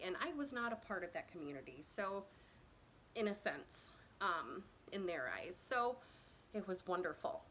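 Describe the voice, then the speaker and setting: A woman's voice: medium pitch, bright, forceful tone, a little hiss or hum. A woman in her thirties from Indiana tells her own story to a phone camera in a casual, rambling way, with many fillers and self-conscious laughs. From Indiana, USA. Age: 30s.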